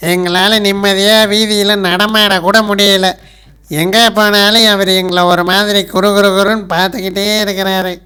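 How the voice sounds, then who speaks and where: A man voicing an aggrieved, fed-up villager in an animated moral story. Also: high-pitched, clean tone. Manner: indignant, aggrieved complaining. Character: complaining village man, fed up with a staring neighbour.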